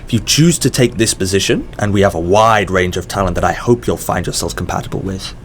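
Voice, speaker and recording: Male, actor as mysterious corporate boss for audio drama: lowish voice, polished, probing, noisy ambience. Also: a little fast. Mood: encouraging, eager. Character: mysterious, smoothly encouraging male company boss conducting an interview.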